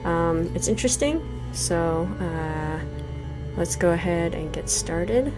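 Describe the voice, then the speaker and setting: Lowish voiced woman in her 40s, musing over a game, lots of ums, faint music behind. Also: lively intonation, slow. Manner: thoughtful, hesitant, many fillers. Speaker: game reviewer. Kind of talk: let's play commentary.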